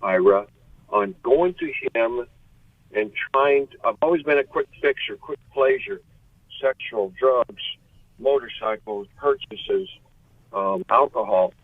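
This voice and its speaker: A man's voice: medium pitch, slow pace, no echo. A man in his late sixties calling in by phone from a pulled-over car, talking in a rambling, earnest and candid way. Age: 60s. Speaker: caller. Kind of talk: call-in radio chat. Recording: dry tinny phone line from a car.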